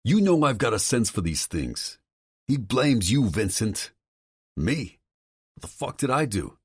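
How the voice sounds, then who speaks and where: A man's voice: mid-range voice, sing-song, measured pace, breathy edge, no echo, studio quality, voice pushed up. A man voicing an agitated brother who warns urgently over the phone. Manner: urgent, swearing, insistent.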